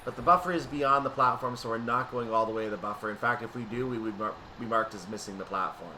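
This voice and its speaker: A man's voice: mid-range voice, quick pace, pushed and bright, slight background noise, poor audio. A man giving explanatory, methodical gameplay commentary, thinking aloud as he plays a train simulator. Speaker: video game streamer playing a train simulator.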